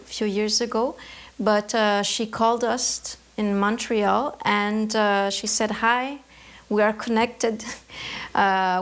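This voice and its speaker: A woman from Canada, an embroidery artist, answering interview questions in a thoughtful way, often pausing to search for words. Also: mid-range voice, creaky.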